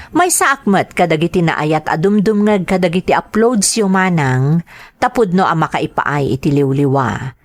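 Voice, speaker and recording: A woman's voice: fairly low pitch, no room sound. A woman with a Filipino accent reading the letter of a humble, hardworking man as scripted drama narration.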